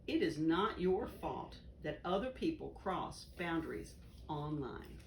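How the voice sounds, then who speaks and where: A woman reading a children's educational book aloud in a deliberate, instructive way. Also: low-pitched, expressive. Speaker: volunteer story reader. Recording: roomy echo, faint background noise.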